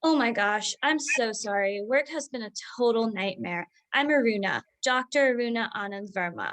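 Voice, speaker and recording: A woman acts out an overworked doctor mom in a contemporary drama reading. Her voice sits fairly high, and she is brisk, proud and quick to push back, insistent about what she wants. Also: expressive, a bit bright. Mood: flustered, brisk.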